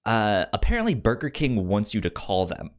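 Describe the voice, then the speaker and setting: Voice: medium pitch, big pitch swings, normal speed. A podcast co-host with a stutter, repeating sounds and words while telling an informal story in casual chat and acting out the dialogue.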